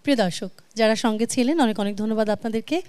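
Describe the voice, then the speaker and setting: A woman's voice: fairly high pitch, fast talker, darkish tone, clean tone, dry recording. Bangladeshi woman hosting, dry close sound. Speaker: television host. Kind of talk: hosting a music talk show. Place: television studio.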